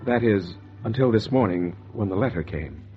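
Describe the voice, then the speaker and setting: An actor playing an anxious, guilty husband hiding a murder, with a hesitant, stammering American delivery in 1940s radio noir style. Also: male voice, on the low side, animated, normal speed, faint music behind, close to an everyday voice. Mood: uneasy calm. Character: anxious guilty husband, secretive murderer, narrating his crime. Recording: dull, muffled vintage 1940s radio recording.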